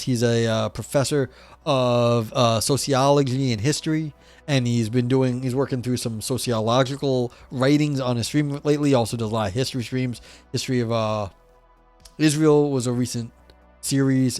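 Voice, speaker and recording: A man with a scratchy, hoarse voice on a livestream, reading philosophy passages aloud and then commenting casually, swearing and poking fun at himself. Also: medium pitch, normal speed, a little mellow, faint music behind. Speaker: streamer reading philosophy text.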